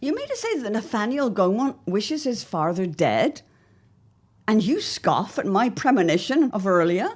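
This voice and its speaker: A woman acting an anxious, fanciful farm wife of the early 1900s in an audio drama, with formal, dramatic diction and a husky voice. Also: medium pitch, sing-song, normal speed. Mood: curious.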